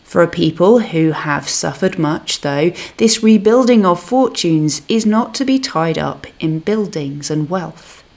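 Slow, low-pitched woman reading a reflection. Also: lively intonation, darkish tone. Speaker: minister giving a reflection. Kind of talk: scripted devotional reflection and prayer.

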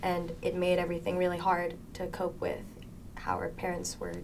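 A woman's voice: fairly low pitch, monotone, moderate pace, darkish tone, a little hiss or hum. A teenage young woman, a student actor, explains things casually in a panel interview. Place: indoor panel, seated group. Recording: faint background ambience.

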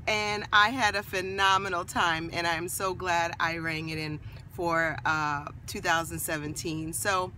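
A hoarse woman in her forties talks to her phone at arm's length, her raspy voice bright and pointed. She stretches her words slowly in a cheerful, chatty way, with faint clicks behind her. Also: mid-range voice, slight background noise. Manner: chatty and upbeat, drawn-out phrasing. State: hoarse from a night of laughing. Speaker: vlogger. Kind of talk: casual vlog to camera. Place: parked car, then an office. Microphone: phone camera at arm's length.

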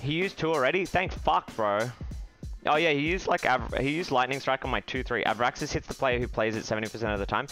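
A young man streaming a card game from a small room, swearing freely and reacting loudly to each play. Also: mid-range voice, a little fast, soft background music. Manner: profane, excitable, shouting when upset. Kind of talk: live game stream commentary.

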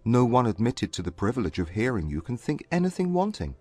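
Man acting a husky, haughty young gentleman. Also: medium pitch, sing-song. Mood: courteous. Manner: formal, stiff, measured. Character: reserved, haughty young English gentleman, formally courteous. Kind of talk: dramatized audiobook acting.

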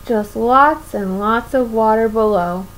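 A woman's voice: a little high, slow pace, rough recording. A young woman reading a picture book aloud to children expressively, often putting questions to her listeners. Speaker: children's story reader. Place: small room at home, indoors.

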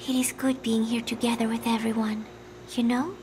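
A woman playing a gentle, confused young student in a video game, speaking softly and hesitantly and trailing off. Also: fairly high pitch, little pitch movement, moderate pace, slight background noise, natural voice. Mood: gentle, wistful. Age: young adult. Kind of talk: dramatic video game acting.

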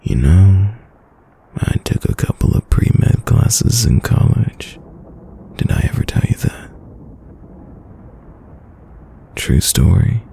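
Male actor as affectionate boyfriend, ASMR roleplay, dark mellow gravelly voice, unhurried, soft-spoken, soothing. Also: sing-song, a little hiss or hum, full character voice. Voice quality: very deep, gravelly. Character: tender, teasing young boyfriend caring for sick partner.

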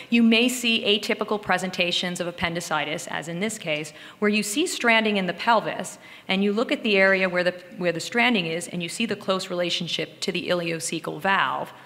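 Low-voiced woman lecturing in a reverberant room. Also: brisk, fairly bright tone. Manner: explanatory, talking through slides and cases. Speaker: radiologist lecturer. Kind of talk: case-based medical lecture.

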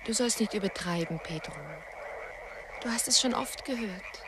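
An actress playing a gently teasing woman in love in a radio play. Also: medium pitch, moderate pace, natural voice. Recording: noisy, loud croaking frogs behind.

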